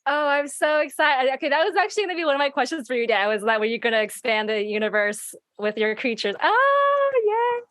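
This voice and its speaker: A woman with a slightly high voice hosts a casual livestream chat. She sounds bubbly and giggly, and her recording is close and dry. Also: lively intonation, brisk, few pauses, fairly bright tone, no room sound. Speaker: livestream host, podcast creator. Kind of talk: casual hosting.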